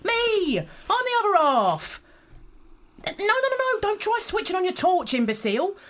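A woman's voice: very high voice, wildly swooping pitch, normal speed, a bit bright, exaggerated voice. Woman playing a bossy, exasperated smuggler. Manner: impatient, scolding, sarcastic. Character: exasperated bossy female smuggler waiting in marsh. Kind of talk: radio drama acting.

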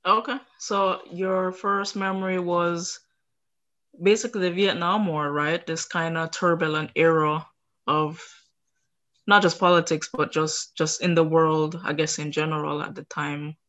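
A woman hosts an interview and asks her questions in a relaxed way, full of fillers and restarts. Her voice sits a little on the low side. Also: unhurried. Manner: casual, with many fillers and restarts. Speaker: interview host. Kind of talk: hosting a recorded interview.

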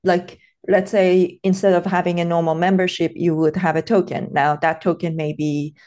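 A woman's voice: lowish voice, little pitch movement, normal speed, darkish tone. A woman from Iran hosting a casual podcast interview, thoughtful and hesitant, with many fillers and restarts.

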